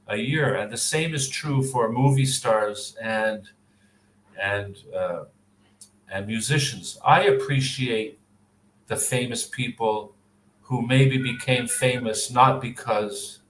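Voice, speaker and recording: Canadian man, unhurried and reflective, restarts his sentences a lot, heard on a video call in an echoey room with faint background hum. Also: mid-range voice, fairly bright tone. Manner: thoughtful, with frequent fillers and restarts. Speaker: interviewee. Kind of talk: answering mock speaking test questions.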